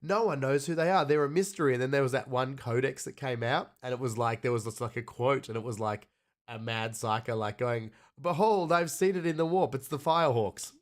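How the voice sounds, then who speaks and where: A man's voice: medium pitch, a little fast. A man with a blocked nose co-hosting a podcast, chatting casually, laughing easily and reading out questions from the chat. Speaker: podcast co-host.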